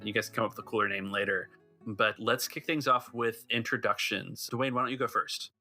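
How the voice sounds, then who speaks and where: A man with a gravelly voice hosting and narrating a tabletop game as game master. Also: on the low side, fairly even intonation, brisk, sharp tone, soft background music.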